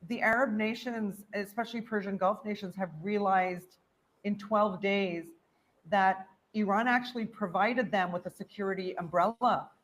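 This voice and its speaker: A woman co-hosts a talk panel and speaks fluently, listing her points in steady order. Her voice sits at a mid pitch. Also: normal speed, low-quality recording. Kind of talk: casual panel discussion.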